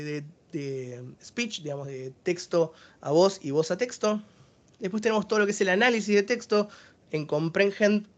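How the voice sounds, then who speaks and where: A man's voice: on the high side, lo-fi sound. A man with an Argentine accent giving a technical webinar presentation, explaining each service step by step.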